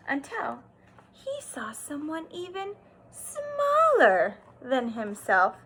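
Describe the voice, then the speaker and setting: A woman teacher doing an expressive read-aloud for young children, stressing words and pausing to explain and ask simple questions. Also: very high voice. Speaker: kindergarten teacher.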